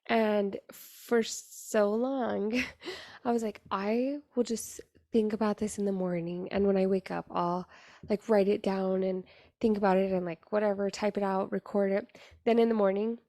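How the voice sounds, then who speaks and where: A young woman hosting her podcast, talking in a raspy voice with an earnest, chatty manner full of fillers. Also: mid-range voice, steady tone, unhurried, warm tone, no room sound, studio quality. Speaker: podcast host. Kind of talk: casual solo podcast monologue.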